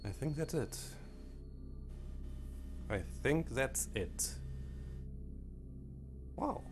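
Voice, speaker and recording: A man whose first language is German, talking over a game menu in halting phrases that break off mid-sentence. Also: mid-range voice, lively intonation. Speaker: let's play commentator. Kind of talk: game commentary with disclaimers. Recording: faint game menu music behind.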